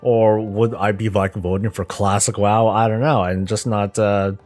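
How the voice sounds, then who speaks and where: A man hosting casual gaming news commentary, talking conversationally and thinking out loud as he goes. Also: lowish voice, level tone, normal speed, faint music behind. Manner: conversational, rambling, thinking aloud. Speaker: gaming news YouTube host.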